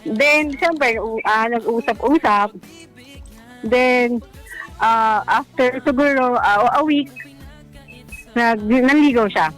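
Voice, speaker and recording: A woman's voice: fairly high pitch, slow, pauses often, a bit bright, soft background music, rough recording. A Filipino woman calling a radio show, telling a personal story in a casual, hesitant, self-deprecating way. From Philippines.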